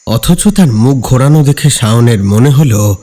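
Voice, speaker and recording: A man narrating a scripted horror story in a dramatic, measured, suspenseful way. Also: medium pitch, lively intonation, dark tone.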